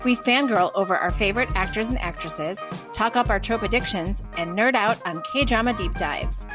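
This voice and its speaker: Podcast co-host, woman, mid pitch, cheerful, faint music underneath. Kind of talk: casual chat.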